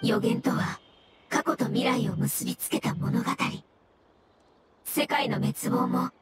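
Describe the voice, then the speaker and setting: A slow, courteous fungus spirit. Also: female voice, high-pitched, very noisy. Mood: solemn, recalling. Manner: formal, measured, polite. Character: polite, wise floating jellyfish fungus spirit, calm elder-like explainer. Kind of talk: Japanese game dub dialogue. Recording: loud background music behind voice.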